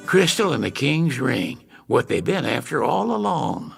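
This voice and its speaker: A crusty old guy, super gravelly, blunt, loud music behind him. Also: medium pitch, big pitch swings, unhurried, exaggerated voice. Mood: grim, wry. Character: gruff, plain-spoken old mechanic and family friend. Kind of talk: video game cutscene acting.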